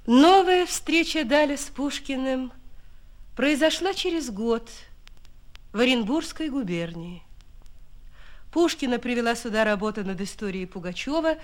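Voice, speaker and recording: A woman reading scripted radio narration in a storytelling way, with deliberate phrasing. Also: on the high side, animated.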